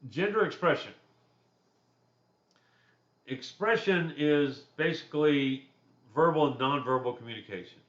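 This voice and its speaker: A man with a deep voice talks through a class chapter in an easygoing, conversational lecture, dropping in personal asides. The recording is slightly dull and muffled, with a noticeably roomy echo around him. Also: measured pace, pauses often. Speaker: instructor.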